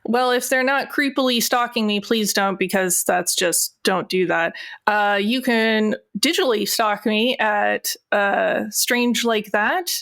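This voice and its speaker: Female guest player: playful, tongue-in-cheek, conversational, mid-range pitch. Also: a little slow, a bit bright. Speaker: guest player on a tabletop podcast. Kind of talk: casual chat.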